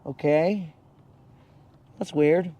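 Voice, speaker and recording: Hoarse, slangy fishing host outdoors. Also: male voice, fairly high pitch, extremely slow, mellow, highs cut off. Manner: casual, slangy, calls viewers bro. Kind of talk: live-stream chat while fishing. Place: outdoors on a boat on a lake.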